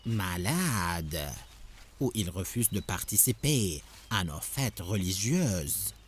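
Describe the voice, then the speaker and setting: A man playing a suspicious, pondering townsman in a religious cartoon, deliberate in his delivery. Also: low-pitched, lively intonation, slight background noise. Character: suspicious, pondering townsman gossiping about a dissenter. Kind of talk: dubbed religious cartoon acting.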